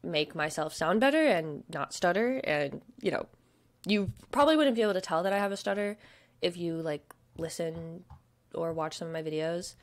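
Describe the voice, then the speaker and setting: A woman's voice: on the low side, expressive, normal speed. Chatty young woman with a stutter. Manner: chatty, filler-heavy, soft murmuring asides. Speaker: vlogger. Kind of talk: casual shopping chat. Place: home desk.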